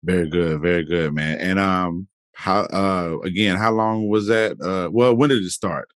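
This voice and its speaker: A podcast host with a deep, low voice and a Jacksonville, Florida accent. He talks in a loose, easygoing way, full of "um"s and restarts. The recording is dry and close. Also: a little slow, no echo. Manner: relaxed, many fillers and restarts. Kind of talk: casual interview intro.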